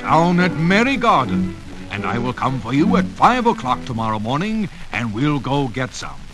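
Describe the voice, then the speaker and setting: A man's voice: big pitch swings, over music, lightly acted, low-quality recording. A man reading a storybook and voicing a sly, menacing wolf who drags out his words. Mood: sweet-talking. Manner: slow, drawn-out and booming.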